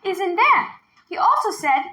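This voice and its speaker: A woman voicing a bold, excitable young village girl in a storybook, speaking emphatically and eagerly. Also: very high voice, moderate pace, voice pushed up, full character voice. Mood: faltering, taunting.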